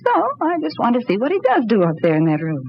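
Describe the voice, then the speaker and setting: An actress playing a trusting, earnest young wife who soothes and insists, in an old-time radio drama. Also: female voice, a little high, huge pitch swings, fast talker. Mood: cheerful, reassuring. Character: trusting, reassuring young wife visiting her eccentric uncle. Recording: dull, noisy old radio recording.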